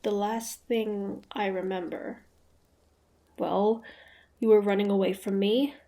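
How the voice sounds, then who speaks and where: A woman's voice: mid-range voice, fairly even intonation, takes it slowly, mellow. A woman playing a proud, defiant monster hunter in a dramatic fantasy audio roleplay. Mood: wary, puzzled. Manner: haughty, taunting, dramatic. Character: proud, defiant young female monster hunter, grudgingly curious.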